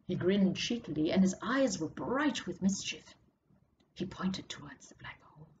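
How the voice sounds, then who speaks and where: A woman's voice: lowish voice, lively intonation. Woman storyteller, muffled noisy recording. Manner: measured, dramatic, drops to hushed tones for suspense. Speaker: author reading their own story. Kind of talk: expressive storytelling narration of a book excerpt. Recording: muffled audio with clear background noise.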